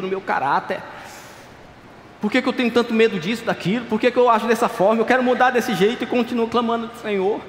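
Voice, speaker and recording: A pastor in his thirties preaching a sermon at a pulpit, passionate and pleading, dropping to quiet asides. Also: very high voice, slight background noise. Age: 30s. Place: large dark church stage at a pulpit. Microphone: stand mic at a clear pulpit. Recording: echoey church hall, faint ambience.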